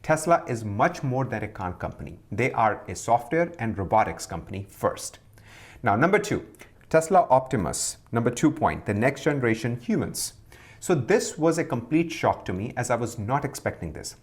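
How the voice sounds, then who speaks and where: A man's voice: medium pitch, big pitch swings, normal speed. A man hosting a video, giving emphatic, scripted-sounding commentary on investing and stepping through it point by point. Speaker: stock commentary video host.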